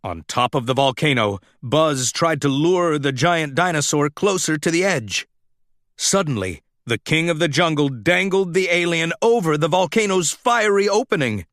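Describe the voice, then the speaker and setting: Guy reading a kids storybook, slow and dramatic, voice on the higher side, really animated. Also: no echo, clean studio sound. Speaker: storybook narrator. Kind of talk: expressive scripted children's story narration.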